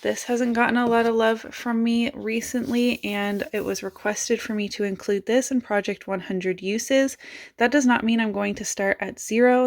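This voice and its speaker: A woman beauty vlogger chatting casually about her makeup, raspy as she loses her voice and thinks aloud in a self-mocking way. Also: mid-range voice.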